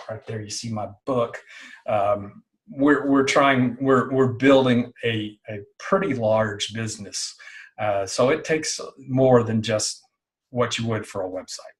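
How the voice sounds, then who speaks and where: A middle-aged man being interviewed, talking casually and haltingly, often repeating words and phrases as he explains things. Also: mid-range voice, low-quality recording. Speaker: interview guest, online business owner.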